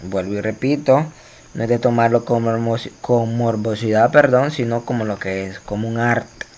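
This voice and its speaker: Male teacher, mid-pitched, chatty lecture delivery with lots of "eh" fillers and self-corrections, talks straight to his students. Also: moderate pace, darkish tone. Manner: explanatory, many fillers and restarts, addresses students directly. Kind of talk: recorded slide lecture.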